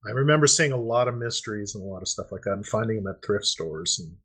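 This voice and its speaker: A man's voice: lowish voice, lively intonation, fast, a bit bright, husky. A middle-aged man co-hosting a podcast, chatting casually and prompting with questions. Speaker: podcast co-host.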